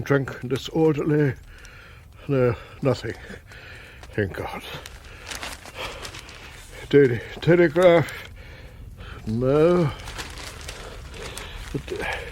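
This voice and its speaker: An actor playing a furtive, grumpy English husband in a radio comedy, with a gravelly voice and a clipped, blustering manner. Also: male voice, mid-range voice, a little hiss or hum. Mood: anxious relief. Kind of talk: radio comedy drama acting.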